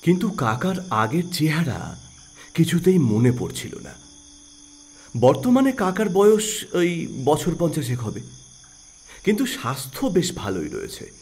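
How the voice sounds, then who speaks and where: A man's voice: fairly high pitch, huge pitch swings, unhurried, long pauses, a little mellow, breathy edge. A man narrating a scripted audio story in a dramatic storytelling style.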